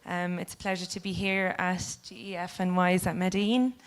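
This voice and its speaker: Polite woman with a flat, even voice. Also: fairly low pitch, measured pace. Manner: polite, scripted, with some filler. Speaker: event team member introducing the host. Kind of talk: formal introduction at a conference. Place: conference room.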